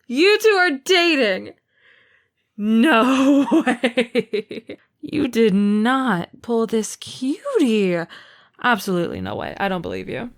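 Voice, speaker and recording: A woman's voice: a little high, sing-song, slow, exaggerated voice. A woman acting as a teasing, easygoing older sister in an audio roleplay, with playful, exaggerated reactions.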